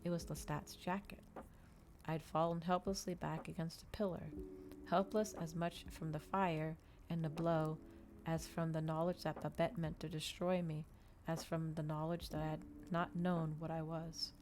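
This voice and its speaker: A woman reading a novel aloud in a dramatic ASMR storytelling style, with measured, expressive delivery. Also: deep voice, music in the background.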